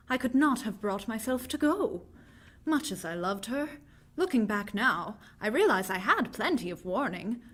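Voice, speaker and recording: A woman's voice: mid-range voice, natural voice. An actress playing a horrified young woman recounting dread from her diary in a dramatic, emphatic vintage radio horror style.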